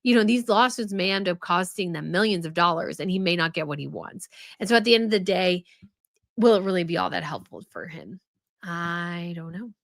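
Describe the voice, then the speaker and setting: A woman's voice: fairly low pitch, lively intonation, fairly quick, dry recording, studio quality. A young woman hosting a casual live stream, chatting and answering viewer comments with many fillers and restarts. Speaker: host of a royal news live stream.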